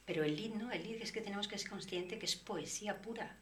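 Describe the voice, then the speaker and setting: A woman singer, guest in an interview, explaining her art at length in a relaxed, reflective way. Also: medium pitch, a little fast, slight background noise. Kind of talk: relaxed conversation.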